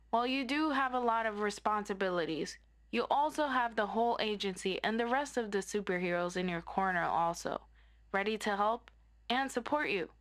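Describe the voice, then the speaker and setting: A woman's voice: medium pitch, a bit bright. Woman acting as encouraging superhero tutor, instructive. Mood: reassuring. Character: friendly superhero best friend tutoring with an orientation lecture. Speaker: voice actor in roleplay audio. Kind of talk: scripted roleplay, instructional orientation narration.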